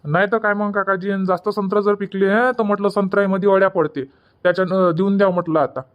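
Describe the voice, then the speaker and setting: A man's voice: high voice, steady tone, quick pace, clean tone, close to an everyday voice. A man playing plain-spoken village orange farmers in a cartoon comedy, chatting colloquially with a Varhadi Marathi accent from Vidarbha. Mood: chatty. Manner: colloquial, repetitive rustic chatter. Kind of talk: dubbed cartoon comedy dialogue. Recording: dull lo-fi audio.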